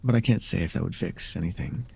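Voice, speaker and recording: Deep, gravelly, breathy lonely fallen god acted by a man. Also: a little mellow, exaggerated voice. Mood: mournful, brooding. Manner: slow, halting, brooding delivery with sighs. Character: forgotten lonely male former god, sorrowful and wounded. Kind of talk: ASMR audio roleplay monologue.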